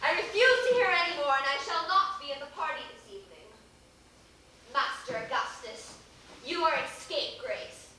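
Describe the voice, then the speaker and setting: A woman's voice: high voice, lively intonation, normal speed, sharp tone. A woman playing a haughty, easily offended old duchess in an amateur stage play, stern and imperious. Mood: indignant, stern. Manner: imperious, stern, clipped commands. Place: theater stage, recorded from afar. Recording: echoey theater, distant mic, faint noise.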